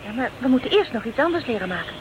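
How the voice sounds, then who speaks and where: A woman's voice: fairly high pitch, very animated, rapid-fire. An actress playing an earnest, emotive young woman settling on a new planet in a radio play. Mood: subdued, thoughtful. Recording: radio drama with faint noise.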